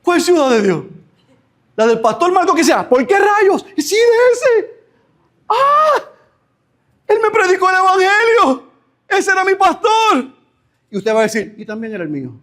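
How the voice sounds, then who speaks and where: Male pastor, lively expressive sermon delivery. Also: very high-pitched, measured pace, long pauses. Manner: animated preaching, acts out imagined voices.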